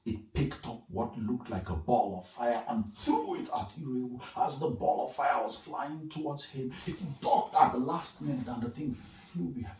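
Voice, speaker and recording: A deep-voiced male storyteller from Nigeria tells a folktale in a roomy, echoing space. He takes his time with theatrical pauses, and his pitch moves expressively for suspense. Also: darkish tone. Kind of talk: dramatic oral storytelling of a folktale. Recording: echoey room, distant from the mic.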